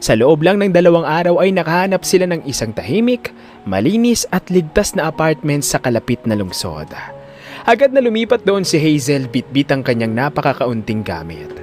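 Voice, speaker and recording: A man's voice: fairly high pitch, very animated, normal speed, a little mellow. A man narrating a dramatic scripted story. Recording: music under the voice.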